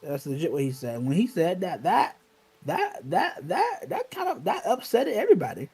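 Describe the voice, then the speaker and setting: A man's voice: sits high, very animated, moderate pace, gravelly, a little mellow, slightly rough. A man reminiscing in casual, slangy talk, emphatic and often repeating himself.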